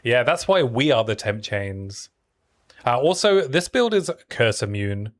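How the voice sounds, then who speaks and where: A young man with a soft, soothing voice fit for bedtime stories. He talks easily and casually, mid-pitched, breaking off from play to answer his chat. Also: a little slow. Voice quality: soothing sleep-story voice. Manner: relaxed, chatty, answering chat between plays. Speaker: game streamer. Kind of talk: casual live-stream commentary while playing.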